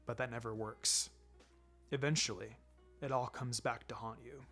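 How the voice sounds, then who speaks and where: Man voicing a low, quiet, laid-back ninja mentor. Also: fairly even intonation, moderate pace, soft background music. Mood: sombre. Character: laid-back adult male ninja mentor, wry and gently sombre. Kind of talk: fan fiction audiobook character acting.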